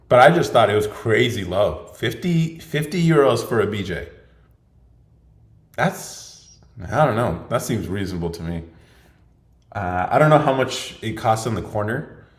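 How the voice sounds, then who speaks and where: A young man hosting a livestream Q&A podcast, chatting casually and laughing often as he jokes. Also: low voice. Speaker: podcast host. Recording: echoey room.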